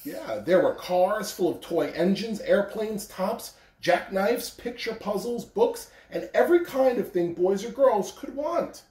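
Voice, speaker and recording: American man, thirties, school announcer and storybook reader. Mellow, lively intonation, recorded in a roomy home from a distance. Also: high-pitched, unhurried, hardly any pauses. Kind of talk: morning announcements and storybook read-aloud to children. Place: carpeted living room at home.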